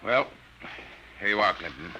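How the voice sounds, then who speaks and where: An actor playing a grave, protective army major with a measured manner in a 1950s radio drama. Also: male voice, deep voice, lively intonation, dull audio. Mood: grave, concerned. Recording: noisy vintage 1950 radio recording.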